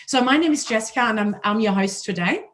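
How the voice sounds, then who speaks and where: A woman with a German accent and a raspy voice, hosting a panel talk and telling her own story in a conversational way. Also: on the high side, animated, fast talker, fairly bright tone. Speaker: host.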